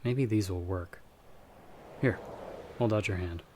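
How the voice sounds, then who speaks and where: Man acting a low-voiced, measured magical guide. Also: dark tone, a little hiss or hum. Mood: calm, instructive. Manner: measured, patient, instructive, with pauses. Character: calm, guarded male magic-wielding investigator guiding a novice. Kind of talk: ASMR audio roleplay acting.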